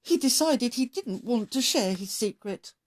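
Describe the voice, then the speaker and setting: A woman narrating a children's story as a read-aloud host, expressive and measured in her delivery. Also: a little high.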